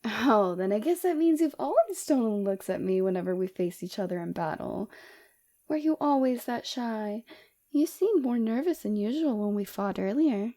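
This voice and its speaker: A woman playing a flirty, forward villain and rival warrior in an ASMR roleplay, teasing and coy with a breathy voice, close to the microphone. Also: a little high, lively intonation, normal speed, dark tone. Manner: teasing, coy, seductive, often dropping to breathy whispers.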